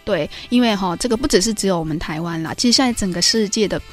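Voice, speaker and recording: A woman's voice: mid-range voice, fast, faint music behind. A woman with a Taiwanese Mandarin accent co-hosting a radio show in a chatty way, speaking conversationally to listeners with a congested, slightly nasal voice. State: congested with a runny nose. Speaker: radio co-host.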